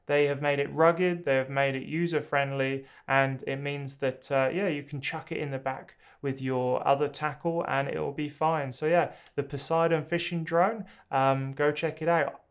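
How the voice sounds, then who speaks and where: A man in his thirties casually reviewing drones to camera from a small home studio, relaxed and enthusiastic, with frequent ums and uhs. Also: a little high, normal speed, clean tone.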